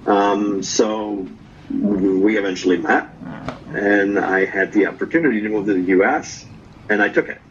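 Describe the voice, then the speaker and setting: A man from Norway, telling his story as a guest in a relaxed, casual chat and tagging his lines with "right?". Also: fairly low pitch, normal speed, highs cut off, a little hiss or hum. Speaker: guest vlogger.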